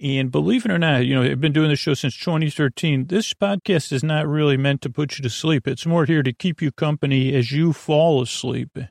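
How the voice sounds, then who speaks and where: A man with a creaky voice hosting a sleep podcast, rambling in a lulling, soothing way with halting tangents and word fragments. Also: on the high side, darkish tone, dry recording, studio quality. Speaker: podcast host, bedtime storyteller. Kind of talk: meandering bedtime monologue for a sleep podcast.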